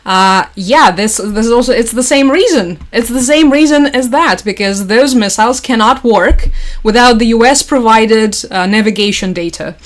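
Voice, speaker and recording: A woman's voice: a little high, a little hiss or hum. Sarcastic woman. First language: Russian. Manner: sarcastic, wry, quick to laugh. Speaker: livestream host. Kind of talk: casual news commentary to chat.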